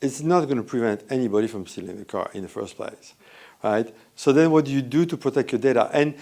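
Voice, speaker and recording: A man with a French accent giving a lively, conversational conference talk and often asking the audience questions. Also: mid-range voice, fairly quick, creaky. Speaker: conference speaker. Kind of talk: live conference presentation. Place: hotel event room.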